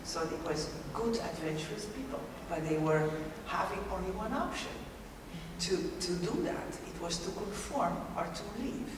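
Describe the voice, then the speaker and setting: A woman's voice: fairly low pitch, a little slow. A woman from Belgium joining a discussion after a lecture, thoughtful, with frequent restarts. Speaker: discussion participant. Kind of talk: spontaneous discussion. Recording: echoey hall, distant mic, background noise.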